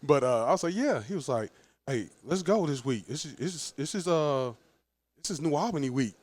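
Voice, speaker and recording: A man's voice: fairly high pitch, brisk. A man in his forties, a head football coach, telling stories with frequent laughs in a casual podcast interview. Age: 40s. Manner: animated storyteller, laughs often.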